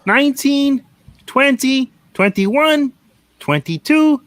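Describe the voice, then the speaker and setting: A man's voice: very high-pitched, expressive, takes it slowly, fairly bright tone, no room sound, heavily acted. A man playing a meek, dutiful office clerk in a radio-play reading, deferential and hesitant. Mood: absorbed. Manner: deferential, hesitant, mumbling to himself.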